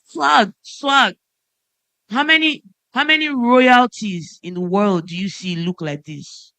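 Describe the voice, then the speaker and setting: A woman's voice: medium pitch, slow, bright, forceful tone, no echo. A woman from Nigeria, a musician on a conference panel, speaking passionately and with emphasis. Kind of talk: panel discussion remarks. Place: conference stage.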